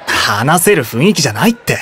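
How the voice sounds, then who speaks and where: An actor playing an easygoing, teasing teenage schoolboy in an anime-style voice drama, with casual boyish speech. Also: male voice, mid-range voice, big pitch swings, a little fast, fairly bright tone, a little hiss or hum. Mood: subdued.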